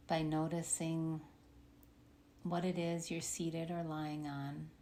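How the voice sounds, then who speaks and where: Slow, low-voiced woman guiding meditation. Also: flat delivery, dark tone. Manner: soothing, unhurried, with long pauses. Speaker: meditation guide. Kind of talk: guided meditation, body scan. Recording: faint background noise.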